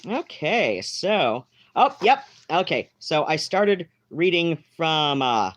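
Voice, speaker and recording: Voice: mid-range voice, big pitch swings, slow, a bit bright, dull audio. A live-stream host chatting casually from home, meandering through thoughts with lots of fillers and restarts.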